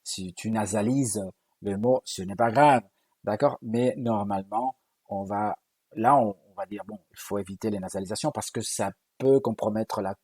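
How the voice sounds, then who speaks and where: A man from Galicia, a native Galician speaker with a Spanish accent, answers interview questions as a linguist. His voice sits low and slightly husky, recorded dry and close with no room sound. He talks hesitantly, often restarting and repeating words as he explains. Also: normal speed, darkish tone, no echo. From Galicia, Spain. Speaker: linguist guest. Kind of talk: interview conversation.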